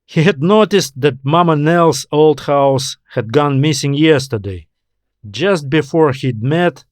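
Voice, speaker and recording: A man's voice: on the high side, takes it slowly, dry recording, studio quality. A man reading a science fiction story as a scripted audiobook narration. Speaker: author narrating own story.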